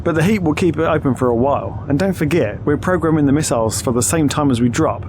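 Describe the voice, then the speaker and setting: A man's voice: a little high, fast talker, background noise, natural voice. A man playing a confident, breezy spaceship crew leader, assured and firm, in an audio drama. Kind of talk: audio drama character acting.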